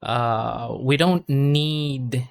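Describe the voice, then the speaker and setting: A man tutoring English in a casual conversation lesson, relaxed and encouraging. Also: mid-range voice, drawn-out words, vocal fry, smooth. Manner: relaxed and encouraging, many "you know"s.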